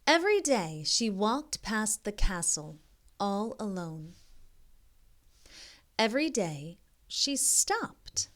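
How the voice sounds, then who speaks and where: A woman tutor reads a children's picture book aloud at an unhurried pace. Her pitch rises and falls in lively swings, and she often breaks off to check in with the young listener in an expressive, guiding way. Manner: expressive, pausing to ask and prompt the listener.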